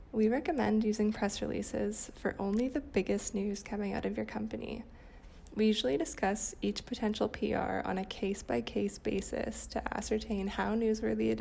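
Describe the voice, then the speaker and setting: A woman's voice: mid-range voice, vocal fry, warm tone. A woman working in PR, giving a scripted explanatory answer for a company podcast. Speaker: PR account manager.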